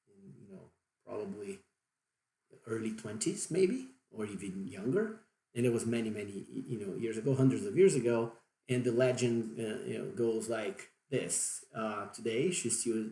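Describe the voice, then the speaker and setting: A man's voice: fairly low pitch, expressive, moderate pace, creaky, dark tone, echoey room. A Brazilian man in his forties explaining at length with many fillers and restarts, in a Brazilian accent. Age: 40s. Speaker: diving vlogger. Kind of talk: unscripted explanation.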